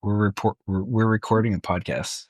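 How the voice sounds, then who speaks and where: Male co-host, middle-aged, low and gravelly, chatting casually on a dry close mic. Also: steady tone, moderate pace, no room sound. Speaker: podcast co-host. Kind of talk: casual chat.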